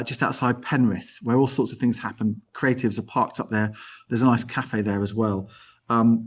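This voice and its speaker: A man hosting an informal online arts show, speaking enthusiastically with rambling, chatty asides. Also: medium pitch. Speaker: host.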